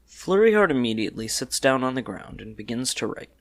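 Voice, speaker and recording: A man's voice: mid-range voice, sing-song, fairly quick. A man narrating a scripted story in the third person, in a storybook style.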